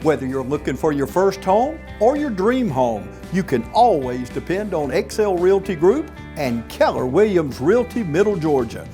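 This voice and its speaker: A man giving a polished, measured sales read of a scripted local advertisement. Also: on the high side, big pitch swings, warm tone. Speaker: commercial announcer. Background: music.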